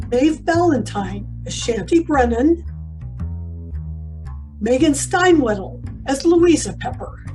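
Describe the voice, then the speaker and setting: A woman announcer reading scripted credits in the style of an old-time radio show. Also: high voice. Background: guitar music.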